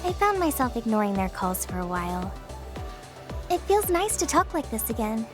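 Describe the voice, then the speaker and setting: A young female soldier from an anime game, with a high voice over background music. She sounds outgoing and casual, friendly with a slightly coy, teasing edge. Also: sing-song, moderate pace. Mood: friendly, relaxed.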